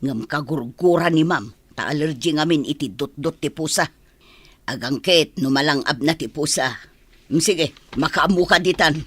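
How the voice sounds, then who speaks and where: A woman playing a sharp-tongued, teasing senior servant in a radio comedy drama, with a husky voice. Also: low voice, moderate pace, no room sound. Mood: brisk, bossy.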